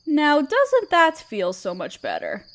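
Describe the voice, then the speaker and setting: Woman voicing a slow, high-voiced motherly spider queen. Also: huge pitch swings, exaggerated voice. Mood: motherly, soothing. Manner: soothing, gentle, slightly formal. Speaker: audio roleplay performer. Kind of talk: scripted roleplay audio drama. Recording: crickets chirping behind the voice. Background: crickets and night insects.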